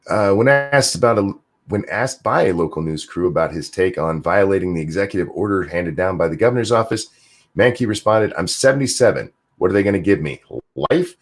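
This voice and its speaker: A man hosting a podcast, chatting casually and reading news articles aloud with a jokey, animated delivery. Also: low voice. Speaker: podcast host.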